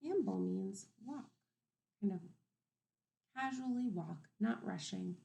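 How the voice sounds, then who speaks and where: A woman teaching kindergarten through a read-aloud, thinking aloud and posing simple questions to children in an expressive, emphatic way. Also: medium pitch, slow, gravelly. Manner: expressive, emphatic storybook delivery.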